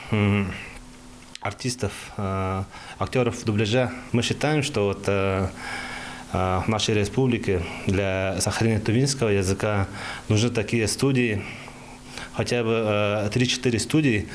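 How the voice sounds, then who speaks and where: A young man giving an unscripted interview answer, haltingly searching for words. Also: lowish voice, a little hiss or hum. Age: young adult. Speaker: researcher and project organiser.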